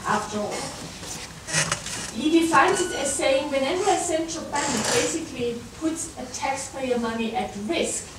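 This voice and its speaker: A woman with a German accent gives a university talk, pausing on fillers and restarting her phrases as she goes. She is recorded from far off in a large, very reverberant lecture room. A steady hum of background noise sits under her voice. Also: fairly high pitch. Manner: hesitant, many fillers and restarts. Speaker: guest lecturer. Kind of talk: academic talk to students.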